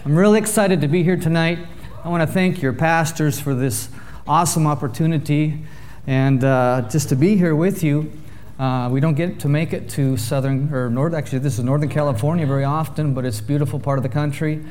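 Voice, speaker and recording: A joking preacher man, higher voice. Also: brisk. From Canada. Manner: jokey, lively storyteller addressing a congregation. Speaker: visiting preacher. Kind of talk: sermon with humorous storytelling.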